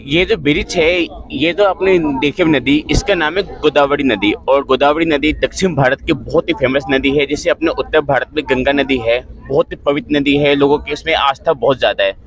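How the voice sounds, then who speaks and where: A man's voice: fairly high pitch, a little fast, poor audio. A man in his twenties chatting informally as a travel vlogger, recorded on a phone aboard a crowded train. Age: 20s. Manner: chatty, informal, addressing viewers as friends. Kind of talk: casual travel vlog narration and chat with passengers. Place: crowded train carriages and railway platforms. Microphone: phone at arm's length. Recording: loud train and outdoor ambient noise.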